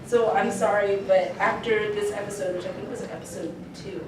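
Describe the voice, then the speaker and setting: A woman's voice: mid-range voice, fairly even intonation, moderate pace. A young woman chatting casually as she hosts a podcast panel. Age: young adult. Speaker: podcast creator hosting a panel. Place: convention panel room. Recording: echoey noisy hall, distant mic.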